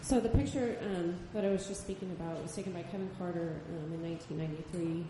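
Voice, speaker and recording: Soft-spoken woman in an echoey hall. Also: lowish voice, fast. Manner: soft-spoken, hesitant, many ums. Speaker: photojournalist on a panel. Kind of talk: panel discussion answer. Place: gallery event space. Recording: echoey room, far mic, background noise.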